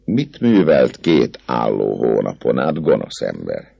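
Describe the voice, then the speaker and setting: A man's voice: extremely low pitch, wildly swooping pitch, vocal fry, mellow, no echo, heavily acted. A man voicing an inquisitive, coquettish young noblewoman who pouts and presses with questions. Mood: teasing. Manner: pouting, questioning.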